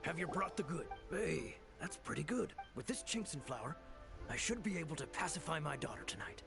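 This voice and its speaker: Gravelly, haggling game trader. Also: male voice, fairly high pitch, expressive, brisk. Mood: pleased. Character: grudging, haggling male trader, a father. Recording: game music behind the voice.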